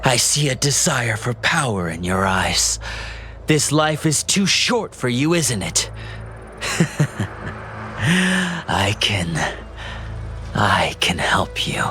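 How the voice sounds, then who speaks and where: An actor playing a sly, gleefully unhinged cultist prisoner in a video game, speaking in a theatrical, reverent, giggling manner. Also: male voice, mid-range voice, very animated, slow, long pauses, pushed and bright, soft background music, full character voice. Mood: sly, taunting. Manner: theatrical, giggling, lingering reverently over words. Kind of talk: dramatic video game voice acting.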